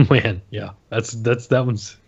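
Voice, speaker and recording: Man, podcast co-host, laid-back, slow talker, dry muffled recording. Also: mid-range voice, steady tone, creaky, darkish tone, husky, dry recording. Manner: laid-back, laughs easily. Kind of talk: casual chat.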